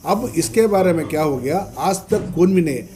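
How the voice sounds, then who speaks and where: A man with a South Indian Dakhni Urdu accent giving a press statement as a spokesman, explaining haltingly and repeating himself with English terms mixed in. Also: a little high, expressive, warm tone. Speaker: party minority-wing official, spokesman. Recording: noisy press meet recording.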